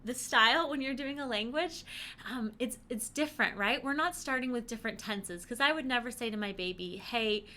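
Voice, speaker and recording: A woman giving an upbeat, chatty lesson to parents, encouraging and animated, with frequent rhetorical questions. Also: a little high, moderate pace. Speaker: presenter teaching parents. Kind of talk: upbeat conversational instructional talk to camera.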